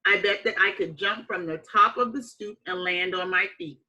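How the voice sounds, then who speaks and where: Bright-voiced woman reading aloud to children. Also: mid-range voice, muffled recording. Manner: deliberate, emphatic phrasing with dramatic pauses. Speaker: teacher reading a picture book aloud. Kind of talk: expressive children's read-aloud.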